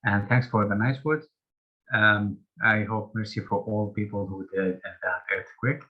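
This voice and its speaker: A man's voice: on the low side, steady tone, a little slow. Man from Syria on dull call audio. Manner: friendly, loose phrasing with restarts. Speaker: panelist. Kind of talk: online panel introduction. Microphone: video call.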